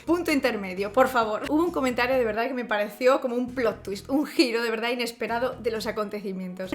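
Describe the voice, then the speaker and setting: A woman from Spain with a Spanish accent, talking to camera in a casual vlog, expressive and ironic, laughing often. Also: on the high side. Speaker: vlogger.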